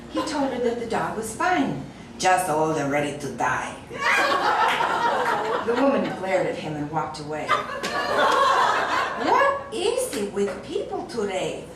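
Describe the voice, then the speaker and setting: A woman narrating a comic short story in a wry, amused way, often breaking into laughter. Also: mid-range voice. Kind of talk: audiobook story reading. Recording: echoey room, distant mic, noisy.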